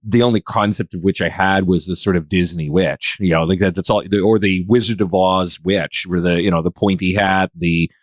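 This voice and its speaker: A man's voice: low voice, steady tone, fairly quick, few pauses, dry recording, studio quality. A man hosting a podcast in a casual conversational style, thinking aloud and musing his way into questions. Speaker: podcast host.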